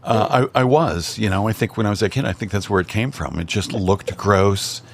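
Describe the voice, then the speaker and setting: A man's voice: fairly low pitch, normal speed, gravelly. A man, a guest on a radio talk show, chatting with dry, wry humor. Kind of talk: casual chat.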